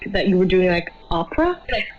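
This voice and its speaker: A woman's voice: lowish voice, very animated, fairly quick, a loud crowd. A nineteen-year-old woman talking casually as an interview guest. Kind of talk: casual chat. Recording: muffled, lo-fi audio.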